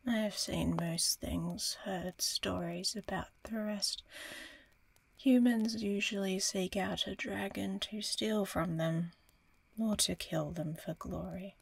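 A woman voicing an ancient female dragon in an ASMR roleplay, proud and curious, with a breathy, deliberate, teasing menace. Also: mid-range voice, fairly even intonation, no room sound, full character voice. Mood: intrigued.

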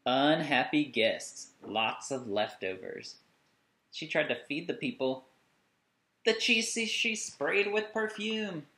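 Man in his thirties, children's storytime read-aloud, very lively pitch, singsong emphasis, roomy indoor echo. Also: slow pace. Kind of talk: expressive read-aloud of a picture book for children. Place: small furnished room at home, indoors.

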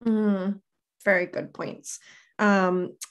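A woman in her thirties hosts an online panel. Her pitch sits in the middle of the female range, and she speaks conversationally from an indoor room over a video call. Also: flat delivery, drawn-out words, clear, resonant tone. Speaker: panel host. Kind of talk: casual online panel moderation.

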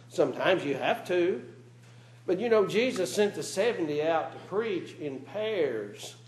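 A man's voice: fairly high pitch, a little mellow, slight background noise. A man preaching a sermon in a church, speaking earnestly and asking his congregation rhetorical questions. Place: church meeting room. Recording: roomy church echo.

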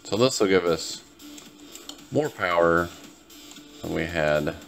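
A man's voice: low voice, animated, slow, vocal fry, soft background music. A tired, laid-back man giving casual, rambling gameplay commentary to his viewers as host of a gaming video. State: tired, just woke up.

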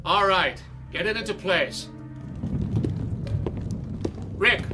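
A high-voiced, stern theatre director. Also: male voice, very slow, pushed and bright, soft background music, exaggerated voice. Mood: impatient. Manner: commanding, sarcastic. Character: stern, exasperated theatre director giving orders. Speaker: actor. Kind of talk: radio drama acting.

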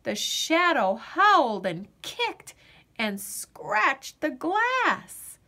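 Woman, higher-pitched voice, very slow pace, lively intonation, dramatic storybook narration, deliberate pauses. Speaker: storyteller reading a picture book aloud. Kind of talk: expressive children's storybook narration.

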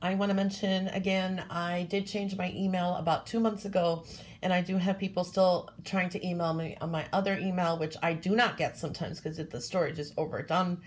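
A woman giving a weekly coffee cup reading in a gentle, casual way, often checking in with "okay?". She is congested from a cold and sniffles. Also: on the low side, steady tone, a little fast. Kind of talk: casual tarot-style reading to camera.

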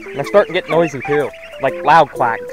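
A man talks his way around his small farm in a loose, folksy ramble, thinking aloud as he goes. His voice sits a little high for a man. He records outdoors on a camera mic, with music clearly audible underneath. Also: brisk. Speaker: homesteading vlogger. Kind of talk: casual walk-and-talk vlog.

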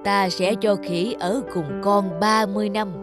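A woman voicing a stern old male God in an animated fable, speaking solemnly and commandingly. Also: mid-range voice, unhurried, over music, lightly acted. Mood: benevolent.

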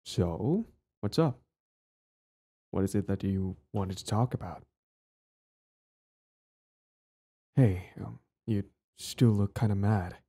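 A man's voice: fairly low pitch, unhurried, mellow, husky. A young man acting a cocky, possessive and smitten bully in an audio roleplay spoken to the listener. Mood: concerned, possessive. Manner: stammering, swaggering, profane.

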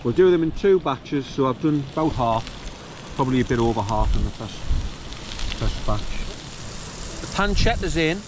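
A man's voice: animated, moderate pace, pauses often. A man in his forties with a North East England accent, chatting wryly to a handheld camera on an open hillside. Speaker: camping vlogger. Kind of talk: casual outdoor vlog narration. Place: open grassy hillside valley by stream. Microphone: handheld camera at arm's length. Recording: noisy outdoors, wind and stream rumble. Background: wind and running water.

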